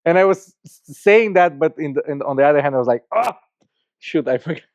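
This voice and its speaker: Romanian-accented man, halting earnest storytelling. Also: fairly high pitch, lively intonation, no room sound. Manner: earnest, halting with frequent restarts and repeated words. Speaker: guest, church planter. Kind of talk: podcast interview storytelling. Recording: slightly muffled dry podcast audio.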